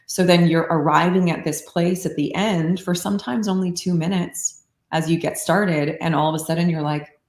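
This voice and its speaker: A woman explaining meditation practice in a calm, unhurried way, often saying "like", in casual podcast conversation. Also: fairly low pitch, echoey room. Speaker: meditation teacher.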